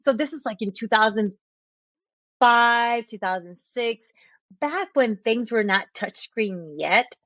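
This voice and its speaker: A woman's voice: on the high side, expressive, slow, a bit bright, no echo, highs cut off. Woman from texas and puerto rico rambling through her story, laughs at herself, dry close mic. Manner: chatty, laughs at herself, many restarts. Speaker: podcast host, realtor. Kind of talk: casual storytelling monologue.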